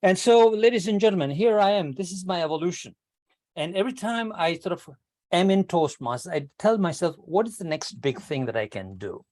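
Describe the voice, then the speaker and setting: Older Kenyan-born man, slightly high voice, animated storyteller speaking over a video call to a speaking club. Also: brisk. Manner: animated storyteller, addresses "ladies and gentlemen", rhetorical questions. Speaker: club member giving a speech. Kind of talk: prepared speech at a public speaking club. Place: online club meeting.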